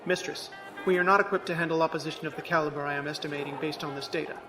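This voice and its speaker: A man's voice: on the high side, fairly even intonation, rapid-fire, over music, lightly acted. A man acting in an audio drama as a literal-minded artificial servant, formal, precise and deferential. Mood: deferential, cautious.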